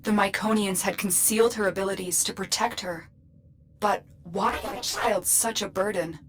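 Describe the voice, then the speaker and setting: A woman playing a composed, analytical mission guide in a video game, measured and serious. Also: mid-range voice, fairly even intonation, slight background noise, close to an everyday voice, rough recording. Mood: thoughtful. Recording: music behind the voice.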